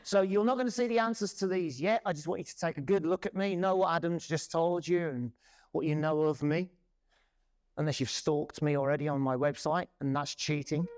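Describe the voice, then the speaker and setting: A man in his forties giving a loud, lively presentation to a conference audience. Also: on the high side, fairly quick. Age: 40s. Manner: loud, lively, self-deprecating. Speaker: conference speaker. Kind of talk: presentation talk. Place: conference room.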